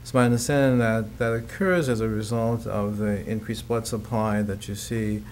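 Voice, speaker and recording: A male physician gives an interview testimonial in a fairly low voice. He talks in measured, formal clinical terms, with some room noise around him. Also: fairly even intonation, darkish tone.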